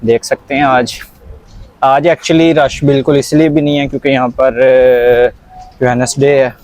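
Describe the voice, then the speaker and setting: A man's voice: mid-range voice, level tone, measured pace, a little mellow. A young Pakistani man with a Punjabi accent hosting a casual conversational vlog, mixing Punjabi and English words into his talk. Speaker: vlog host.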